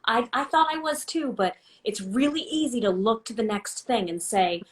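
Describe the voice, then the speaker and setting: A woman's voice: fairly high pitch, moderate pace. A woman hosting an interview, asking questions in a conversational way and repeating words as she starts phrases. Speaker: host. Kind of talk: interview host.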